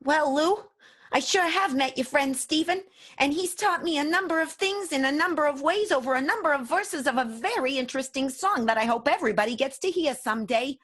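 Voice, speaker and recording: A woman's voice: sits high, animated, brisk, fairly bright tone. A woman playing a scrappy, vengeful young vaudeville woman in an improvised radio soap, with a folksy theatrical delivery.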